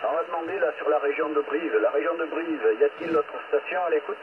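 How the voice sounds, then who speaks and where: CB operator, male, casual chat, thin radio-band sound with clear static. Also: on the high side, brisk, phone-quality sound. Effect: heard over two-way radio.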